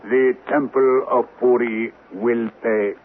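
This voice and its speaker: An actor playing a scheming man who poses as a humble temple envoy, speaking formally and deliberately in old-time radio drama style. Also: medium pitch, fairly even intonation, phone-quality sound, natural voice. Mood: solemn, courteous. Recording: thin, noisy vintage radio recording.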